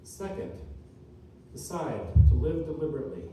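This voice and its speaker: Echoey, distant, very mellow male motivational speaker. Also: fairly high pitch, sing-song. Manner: earnest, deliberate, short emphatic phrases with rhetorical repetition. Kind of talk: inspirational keynote speech to a live room. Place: large indoor room at a seminar. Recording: distant mic, echoey room, faint noise.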